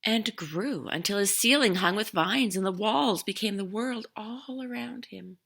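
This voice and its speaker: A woman's voice: medium pitch, a little slow, gravelly, slightly rough. A woman reading a storybook aloud expressively, with chatty asides for young listeners. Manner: expressive, dramatic emphasis on story lines. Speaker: picture book reader.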